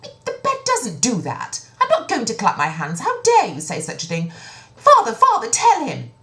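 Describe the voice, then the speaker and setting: A woman's voice: huge pitch swings, normal speed, a bit bright, echoey room, heavily acted. A woman voicing a young princess, a petulant and protesting daughter in a children's fairy tale. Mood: indignant. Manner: petulant, high-pitched protesting.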